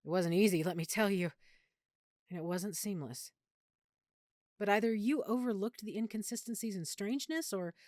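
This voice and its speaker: A woman playing a lovesick, guilt-ridden vampire girlfriend in an intimate audio roleplay, speaking tenderly and confidingly with dramatic pauses. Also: mid-range voice, a little mellow, no echo, studio quality, natural voice. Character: lovesick, guilt-ridden female vampire hiding her nature from boyfriend.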